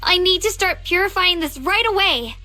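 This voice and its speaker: A brave, dutiful young heroine with a high, youthful voice. She speaks earnestly in anime game style, with music underneath. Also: animated, moderate pace, sharp tone, exaggerated voice. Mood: reassuring, determined.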